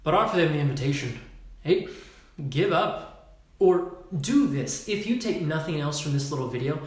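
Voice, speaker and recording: A man's voice: on the high side, lively intonation, moderate pace, echoey room. A man leading a Facebook Live talk as a workshop leader, emphatic and casual, swearing now and then and acting out postures as he explains.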